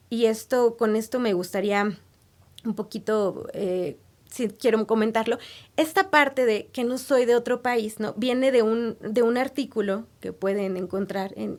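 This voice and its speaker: A woman researcher speaks in a slightly high voice. She explains ideas patiently in an interview, pausing to rephrase and checking that the listener follows. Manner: thoughtful, explanatory, frequent tag questions. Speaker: guest researcher. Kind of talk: academic interview answers.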